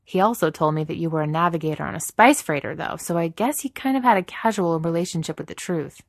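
A woman voicing an earnest, yearning young man with a quiet manner. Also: low voice, animated, quick pace, no echo. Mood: wry. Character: earnest, yearning young man seeking his mother's story.

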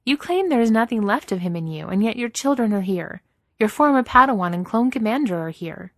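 A woman's voice: a little high, lively intonation, brisk, a bit bright, dry recording, voice pushed up. A woman voicing a proud, defiant former apprentice with a pointed, challenging manner. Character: proud, defiant former female apprentice, sad but tart.

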